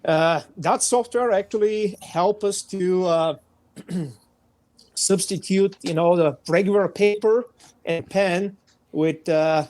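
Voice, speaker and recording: A man with a Bulgarian accent, a guest firearms instructor in a podcast interview, speaking English as a second language and pausing often with "uh". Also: sits high, darkish tone, no echo. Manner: many hesitations, frequent "uh".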